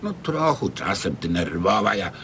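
An actor playing a dry, stubborn, henpecked husband in a radio comedy, needling and folksy, with an eastern Polish Podlasie accent. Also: male voice, sing-song, moderate pace, fairly bright tone. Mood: wry, resigned. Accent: eastern Polish, Podlasie regional. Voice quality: creaky. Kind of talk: radio comedy serial acting. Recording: rumbly, noisy radio recording.

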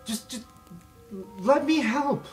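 Man voicing pleading young student Aurora, high-pitched. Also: slow, distant mic, faint music behind. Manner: pleading, eager.

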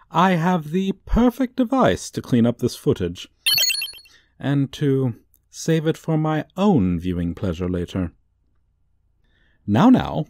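A man playing a gloating, suave supervillain in a comedic ASMR roleplay, with a theatrical, teasing, drawn-out delivery. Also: medium pitch, a little mellow, no room sound, clean studio sound, heavily acted. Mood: triumphant, flirtatious.

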